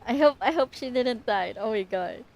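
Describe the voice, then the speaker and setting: A woman's voice: fairly high pitch, expressive, normal speed, vocal fry, a little hiss or hum. A young woman chatting casually as she comments on a game she is livestreaming. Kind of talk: casual chat, live gaming commentary.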